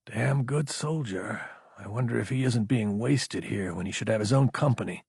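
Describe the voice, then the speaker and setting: Audiobook officer, a woman in charge, acted by a man: curt, decisive orders, husky tone. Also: lowish voice, normal speed, darkish tone, no echo, studio quality, full character voice. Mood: terse, commanding. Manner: terse, commanding. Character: tough, decisive female military commander.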